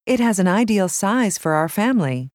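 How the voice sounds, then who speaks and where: A woman with an American accent reading scripted language lesson narration in a measured, clearly enunciated way. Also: mid-range voice, lively intonation, no room sound, studio quality.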